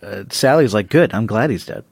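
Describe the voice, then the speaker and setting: A man's voice: fairly low pitch, expressive, normal speed, vocal fry, breathy edge, no echo, studio quality. A man co-hosting a podcast, bantering and commenting in casual conversation. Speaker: podcast co-host.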